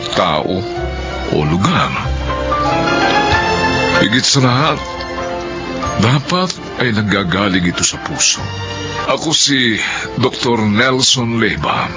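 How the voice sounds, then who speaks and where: Deep-voiced earnest widowed doctor, solemn. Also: male voice, very animated, slow, long pauses. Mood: grieving, heartfelt. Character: earnest widowed doctor giving a testimony of his life. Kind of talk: Filipino radio drama acting. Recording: dull radio recording under loud music.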